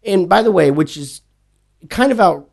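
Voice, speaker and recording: A man from small-town Texas with a Texas accent, chatting casually and haltingly as he doubles back on his words. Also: sits high, expressive, gravelly, a little mellow. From small town in Texas, USA. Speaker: interview guest in the drinks industry. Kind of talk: casual conversation.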